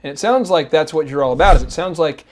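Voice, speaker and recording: A man's voice: fairly high pitch, fast. A man hosting a podcast interview, appreciative and enthusiastic. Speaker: podcast host. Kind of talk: interview chat.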